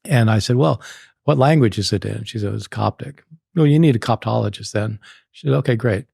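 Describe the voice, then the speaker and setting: A man in his sixties from the United States, a scholar telling a lively anecdote in an interview and laughing often. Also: lowish voice, brisk, vocal fry, slightly rough, no echo, studio quality. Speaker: guest scholar. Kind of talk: storytelling in a podcast interview.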